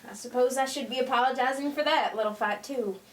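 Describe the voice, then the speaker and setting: A woman's voice: high voice, a little fast, a little hiss or hum, close to an everyday voice. A woman acting a spirited, devoted teenage daughter in a 1940s holiday radio play, earnest and emotional. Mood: sheepish, apologetic. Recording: roomy, distant, music underneath.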